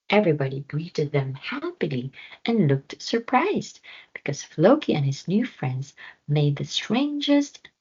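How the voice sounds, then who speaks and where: A woman reading a children's story aloud with deliberate, expressive phrasing. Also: low voice, breathy edge, lo-fi sound. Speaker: storybook reader. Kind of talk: children's read-aloud narration.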